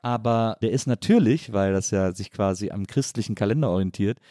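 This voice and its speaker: A man's voice: fairly low pitch, brisk, dark tone, no echo, clean studio sound. A man hosting a podcast, talking casually and feeling his way through phrases with repeated words. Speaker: podcast host. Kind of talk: casual conversational interview.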